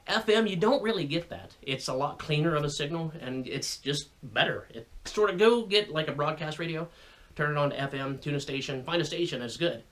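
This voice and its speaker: A man's voice: a little high, fairly quick, fairly bright tone. An American man hosting an informal hobbyist explainer video, speaking in a folksy, plain-spoken, colloquial way. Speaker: radio hobbyist video host.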